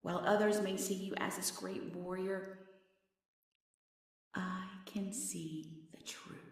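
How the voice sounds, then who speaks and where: A woman's voice: lowish voice, a little mellow. A woman playing a haughty, sadistic half-demon, half-witch villainess in an ASMR fantasy roleplay, mocking and imperious with theatrical menace. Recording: very echoey, slightly distant.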